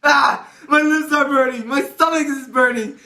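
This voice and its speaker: A man's voice: extremely high pitch, steady tone, normal speed, pushed and bright, roomy sound. A man playing a panicky, complaining teenage boy who is kept awake by his friends, in an amateur audio drama. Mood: frantic. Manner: exclaiming, whiny complaining.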